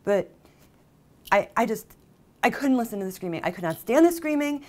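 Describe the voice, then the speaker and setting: A woman playing a jilted, obsessive character who confesses in a halting, conversational dramatic monologue, with volatile emotion. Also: a little high, animated, a little mellow, close to an everyday voice. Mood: indignant, agitated. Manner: halting, emotionally volatile, mimics others' exclamations. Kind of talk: dramatic monologue, conversational first-person storytelling.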